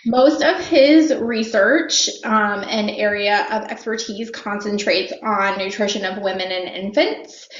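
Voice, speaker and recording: A woman's voice: mid-range voice, fairly bright tone. A woman hosting a podcast, introducing and interviewing a guest with frequent fillers. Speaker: podcast host. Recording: echoey, dull lo-fi audio.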